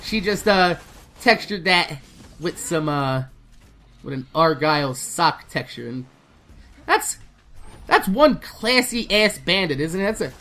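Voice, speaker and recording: A woman hosting a gaming video, giving casual, animated news commentary with informal talk and plenty of swearing. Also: on the low side, slow pace, long pauses.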